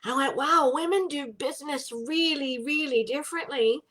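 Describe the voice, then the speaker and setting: A woman's voice: high voice, normal speed, a bit bright. A middle-aged woman hosting a casual live business chat from home, bubbly and greeting viewers by name.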